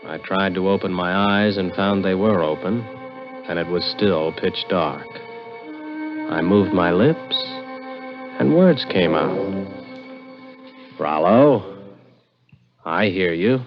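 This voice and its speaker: Over a muffled old radio recording with music, a scheming young American man. His voice is low and he tells his own story like a dramatic confession in a vintage suspense play. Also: slow, pauses often. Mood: dazed, grim. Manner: dramatic first-person storytelling. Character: scheming young male swindler telling his own fatal misadventure. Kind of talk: 1960s radio suspense drama acting, first-person narration.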